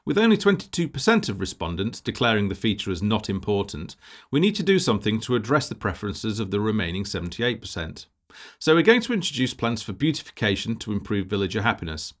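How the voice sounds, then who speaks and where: A man narrating a scripted presentation as a project lead, in a measured, formal, businesslike way. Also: fairly low pitch, big pitch swings.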